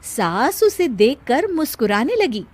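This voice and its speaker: A woman's voice: sits high, very animated, unhurried, a little mellow, husky. A woman reading scripted narration for a moral story as its storyteller.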